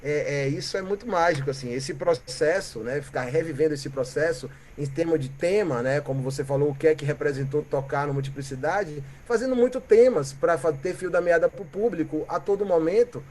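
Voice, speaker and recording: A Brazilian man from Bahia, a musician guest, telling stories in a reflective, animated way and often ending with "né?" or "sabe?". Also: fairly high pitch, fairly quick, hardly any pauses, a little hiss or hum. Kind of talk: reflective conversational storytelling.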